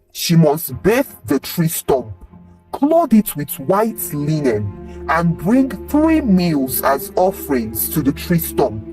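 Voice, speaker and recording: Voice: medium pitch, darkish tone, distant mic, rough recording. A storyteller voicing a stern, solemn male village chief priest who makes grave, scolding pronouncements.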